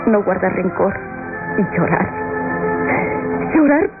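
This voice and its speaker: Anguished young radionovela heroine, tinny recording. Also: female voice, medium pitch, very animated. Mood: pious, resigned. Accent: Latin American. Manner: melodramatic, sorrowful, measured delivery. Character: anguished, gentle, pious young woman, devoted daughter. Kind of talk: classic Latin American radionovela acting. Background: dramatic music.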